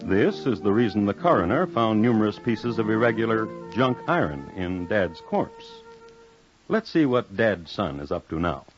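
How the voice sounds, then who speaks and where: A man's voice: lowish voice, sing-song, moderate pace. A man narrating a scripted old-time radio crime story in a droll, formal way. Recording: muffled vintage radio recording. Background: dramatic music.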